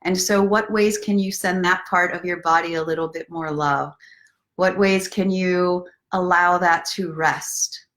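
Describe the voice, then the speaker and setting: A woman's voice: on the low side, fairly even intonation, unhurried, a bit bright. A woman hosting a casual live story time, speaking directly to viewers in an encouraging, playful way as a self-love coach. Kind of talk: casual live-stream chat with guided breathing and book reading.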